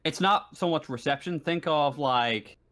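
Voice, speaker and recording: Halting man running a tabletop game. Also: a little high, a bit bright. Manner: halting, stammering delivery with repeated words. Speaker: game master. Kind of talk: improvised narration of a tabletop roleplaying game.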